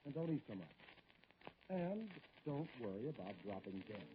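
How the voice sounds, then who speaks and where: A man's voice: medium pitch, very animated, moderate pace, natural voice. An American actor playing a blunt, dry family doctor in old-time radio drama, curt and firm with his patient. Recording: hissy, muffled vintage radio recording.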